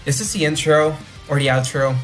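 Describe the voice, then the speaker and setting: A young man whose first language is Dutch, rambling excitedly while reacting to an animation and thinking out loud. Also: on the high side, brisk, faint music behind. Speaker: reaction video host. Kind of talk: casual reaction commentary.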